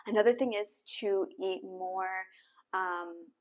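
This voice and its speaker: A woman's voice: medium pitch, fairly even intonation, takes it slowly, a little hiss or hum. A young adult woman hosting a podcast, giving casual advice to camera in an encouraging, self-correcting way. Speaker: podcast host. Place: small bare room indoors with hard floor. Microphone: clip-on lapel mic.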